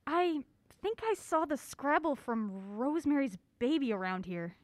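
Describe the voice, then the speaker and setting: A woman's voice: sits high, sing-song, moderate pace, darkish tone, no room sound. An actress playing a polite, affectionate young niece in an audio drama, eager and curious in her delivery. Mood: grateful.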